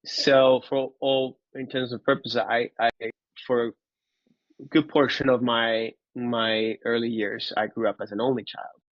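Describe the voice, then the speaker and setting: A man, telling his personal story in an interview, candid and halting, often repeating words. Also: medium pitch, flat delivery, dry recording, muffled recording. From Finland. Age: 30s. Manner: candid, casually profane. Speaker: podcast guest.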